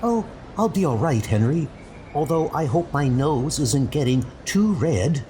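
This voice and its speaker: A man's voice: fairly high pitch, big pitch swings, a little slow, dark tone, slight background noise. A man voicing a breezy, teasing young comic book heroine. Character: vain, playful young woman, comic book superheroine.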